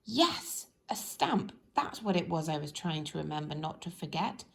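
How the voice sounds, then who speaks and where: A woman's voice: deep voice, big pitch swings, normal speed, creaky, a little hiss or hum, pitched below the performer's own voice, heavily acted. A woman voicing a good-natured, forgetful little storybook man with a hesitant, dithering way of talking. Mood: relieved. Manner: hesitant, dithering, stumbling over thoughts.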